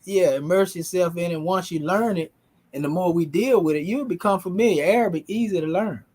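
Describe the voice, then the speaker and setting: A man, teaching a language class in a relaxed, folksy way. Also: high-pitched, fairly quick. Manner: relaxed, folksy, dialect grammar.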